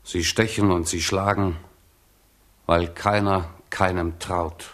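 A sardonic balladeer in a radio drama. The rhymed verse comes very slowly, in a fairly low male voice. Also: little pitch movement, a bit bright. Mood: sardonic, solemn.

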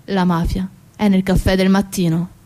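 A woman's voice: medium pitch, level tone, moderate pace, warm tone. A woman delivering a dramatic theatrical monologue as a stage storyteller. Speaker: stage actress and narrator.

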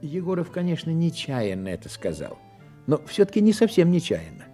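A man's voice: fairly high pitch, huge pitch swings, moderate pace, mellow. A man giving scripted narration as the storyteller of a radio drama. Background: music with guitar.

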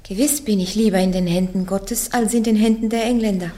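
A woman's voice: medium pitch, steady tone, brisk, dark tone, close to an everyday voice. A woman acting a defiant, devout young warrior on trial in a German radio play, plain-spoken and stubborn. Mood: earnest.

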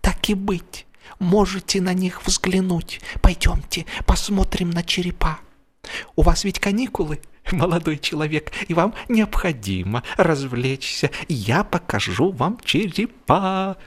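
Casting: frail, hoarse old man, pitched well up, begging and coaxing in a comic storybook. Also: expressive, a little slow, full character voice. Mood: conspiratorial coaxing. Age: older. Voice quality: trembling, hoarse whisper, high-pitched. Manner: wheedling, pleading.

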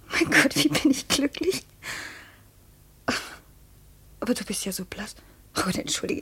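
Overwrought widow in love, high-pitched, husky and breathy, pleading, 1950s radio play. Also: female voice, heavily acted. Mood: happy, then worried. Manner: emotional, breathy, swinging between hushed and exclaiming. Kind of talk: 1950s German radio drama acting.

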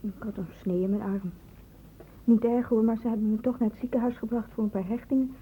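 A woman's voice: on the high side, steady tone, fairly quick, warm tone, natural voice, low-quality recording. A young woman playing a timid, polite student in a Dutch radio play, hesitant and deferential. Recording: faintly hissy old radio recording.

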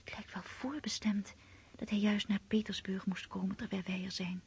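A woman's voice: medium pitch, little pitch movement, moderate pace, a little hiss or hum, natural voice. An actress playing a romantic, anxious girl of seventeen in a radio play, in an intimate whispery voice. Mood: dreamy, happy. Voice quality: breathy, whispery.

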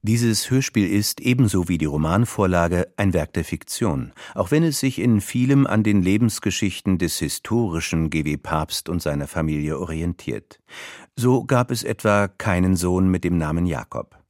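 A man reading scripted radio announcements in a measured, formal manner. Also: sits low, animated, a little mellow, dry recording, studio quality.

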